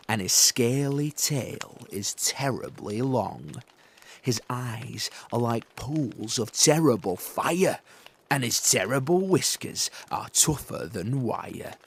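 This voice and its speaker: Brief: a man as a big gruff monster father from a storybook, low-pitched and dramatic, slow and weighty. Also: lively intonation, slight background noise.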